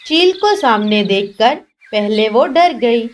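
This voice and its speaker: Female storyteller, fairly high voice, scripted children's narration, faint noise in background. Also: lively intonation, slow pace.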